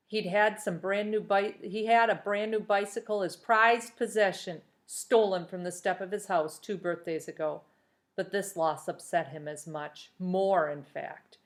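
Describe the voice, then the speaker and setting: Woman reading a storybook aloud expressively. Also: fairly low pitch. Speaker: reader reading a children's novel aloud. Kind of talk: expressive storybook narration with brief asides to listeners.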